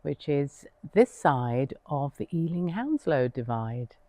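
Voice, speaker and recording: A woman's voice: sits low, sing-song, slow pace, dark tone. A woman from Jamaica who came to England when young, telling her personal story in an interview. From born in Jamaica, came to England when young. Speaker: local resident interviewee.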